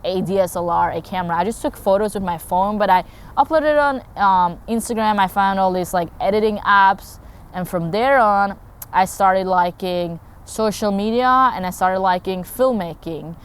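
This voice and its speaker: A woman's voice: medium pitch, moderate pace, smooth. A young woman from Norway talking casually to camera about her work as a content creator, rambling with lots of fillers and restarts. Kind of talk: casual talking-head vlog.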